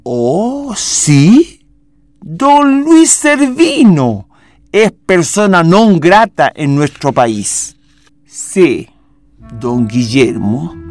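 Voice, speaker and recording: A man with a Chilean accent acting a grave president who questions formally and deliberately, in a historical radio drama. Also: a little high, darkish tone. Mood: indignant, probing. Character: grave, probing male president questioning his adviser.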